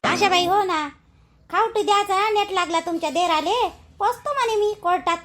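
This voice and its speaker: A woman voicing a very high-pitched Vidarbha village housewife. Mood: excited, gossipy. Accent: Vidarbha Marathi. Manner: colloquial, expressive, drawn-out calls. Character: chatty village housewife in a Marathi cartoon. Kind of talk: cartoon dialogue in rural gossip.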